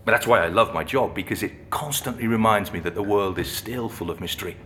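Low-voiced, thoughtful restorer played by a man. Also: fairly bright tone, slight background noise, lightly acted. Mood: thoughtful, enthusiastic. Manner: unhurried, explanatory, conversational with hesitations. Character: friendly, thoughtful male music-box restorer, fascinated by mysteries. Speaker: actor playing an interviewee. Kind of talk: naturalistic audio drama dialogue.

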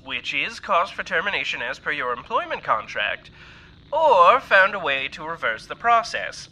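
Sharp, pompous corporate villain, noisy transmission. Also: male voice, fairly high pitch, full character voice. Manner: formal, pompous, menacing. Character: smug, officious male corporate spokesman issuing threats. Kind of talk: audio drama acting, scripted announcement. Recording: noisy recorded broadcast message.

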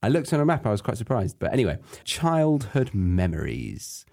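A podcast host from England with a very deep voice that moves about a lot in pitch as he jokes around. His tone is warm and dark, and he chats casually and playfully. The sound is dry and close to the mic. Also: very animated, moderate pace, vocal fry, no room sound. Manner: playful, jokey. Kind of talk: casual chat.

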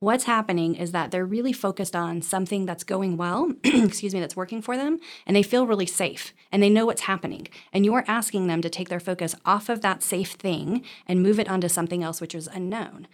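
Fast-talking woman explaining like a counselor. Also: medium pitch. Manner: animated self-talk, checks in with "right?". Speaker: counselor or therapist, interview guest. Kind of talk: conversational explaining with examples and acted-out quotes.

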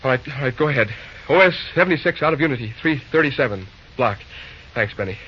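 An actor playing a dazed but dogged young train dispatcher in an old-time radio drama, halting and dutiful, with groans. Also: male voice, medium pitch, animated, lightly acted. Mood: brisk, urgent. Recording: noisy, dull old radio recording.

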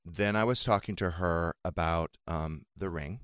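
Low-voiced man hosting casual talk. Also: measured pace, a bit bright, clear, resonant tone, no room sound, clean studio sound. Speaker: talk show host. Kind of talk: casual chat.

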